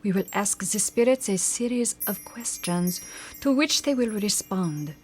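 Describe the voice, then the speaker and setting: A woman's voice: medium pitch, animated, unhurried, faint music behind, lightly acted. A woman playing a formal, commanding and mysterious spirit medium in old-time radio drama style. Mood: solemn, commanding. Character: solemn theatrical female spirit medium.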